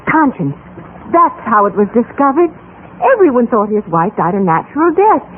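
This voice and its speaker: A woman's voice: fairly high pitch, sing-song, normal speed, a little hiss or hum. An actress playing a kindly, trusting, fussy housewife with exclamatory, motherly delivery in an old-time radio drama. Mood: shocked, gossipy. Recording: thin, muffled old radio recording.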